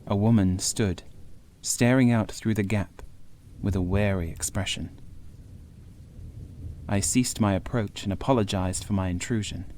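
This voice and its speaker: A male audiobook narrator with a fairly low voice reads first-person horror. His delivery is measured and literary. Soft storm ambience sits behind him. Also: steady tone, slight background noise.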